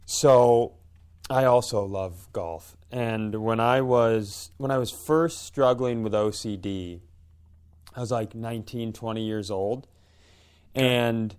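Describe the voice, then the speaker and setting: A man's voice: lowish voice, slow pace, darkish tone, smooth. A man telling a casual, comedic personal story in a self-deprecating way, slipping into imagined dialogue. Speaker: podcast host and comedian.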